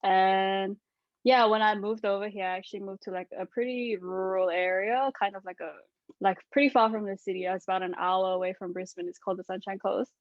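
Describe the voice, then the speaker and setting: A woman's voice: medium pitch, fairly even intonation, brisk, no echo. A Singapore-born woman, chatting casually as a podcast co-host. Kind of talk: casual chat. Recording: dull dry podcast audio.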